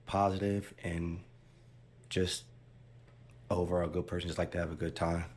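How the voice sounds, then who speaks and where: A man's voice: sits low, little pitch movement, normal speed, darkish tone, slight background noise. A man in his thirties answering questions about himself as a dating show contestant. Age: 30s.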